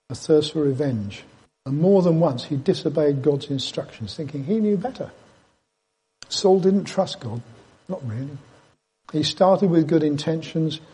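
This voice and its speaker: A man's voice: fairly high pitch, dark tone, slightly rough. An English man giving an informal Bible talk as a preacher, in a conversational teaching manner with dry, jokey asides. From Britain. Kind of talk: informal sermon with slides.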